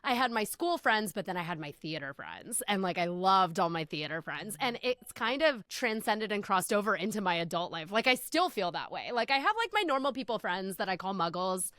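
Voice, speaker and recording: A woman's voice: medium pitch, sing-song, brisk, hardly any pauses, fairly bright tone, no room sound, clean studio sound. A woman from Austin, Texas co-hosting a podcast, chatty and upbeat, saying "like" a lot. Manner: chatty, upbeat, says like often. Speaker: podcast co-host. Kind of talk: casual chat.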